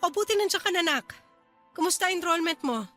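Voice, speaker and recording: A woman playing an angry, exasperated Filipino mother in a radio drama, sharp and accusing. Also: very high-pitched, lively intonation, brisk, faint music behind. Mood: tense. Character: angry, exasperated middle-aged mother quarrelling with her husband.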